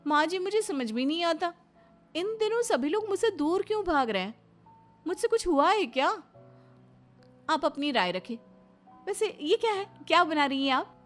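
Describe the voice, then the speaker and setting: Young meddlesome daughter-in-law played by a woman, cartoon family comedy: high voice, naive, insistent, faint music underneath. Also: very animated, normal speed, long pauses. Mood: puzzled, eager. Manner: nosy, insistent questioning. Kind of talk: animated Hindi family comedy story acting.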